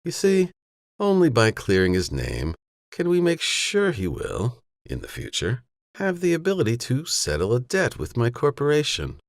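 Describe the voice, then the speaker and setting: A man voicing a formal, educated, patronizing villain in an audiobook, smoothly menacing and businesslike. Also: medium pitch, huge pitch swings, normal speed, no room sound, studio quality, voice pushed up. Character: tall educated humorless villain, condescending businessman in glasses.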